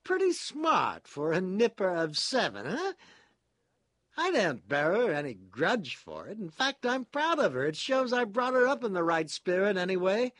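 A man's voice: very high voice, big pitch swings, slow, a bit bright, no room sound, voice pushed up, heavily acted. A man voicing an eager, naively loyal male prisoner in an audiobook, earnest, chummy and plaintively proud. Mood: doleful pride. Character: eager, doleful, self-blaming old chum prisoner, naively loyal.

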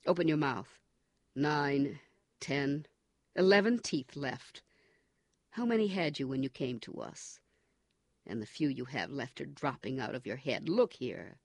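A man's voice: medium pitch, lively intonation, a little slow, long pauses, no echo. A man doing a cold, menacing interrogator. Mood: contemptuous. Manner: forceful, contemptuous, coldly commanding. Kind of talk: audiobook character reading.

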